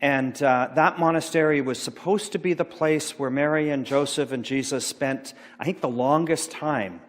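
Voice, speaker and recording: A middle-aged man giving an informal sermon, rambling and halting with many fillers and restarts. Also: fairly high pitch. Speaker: pastor. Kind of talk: informal sermon talk about slides. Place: church sanctuary. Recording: long church hall reverb.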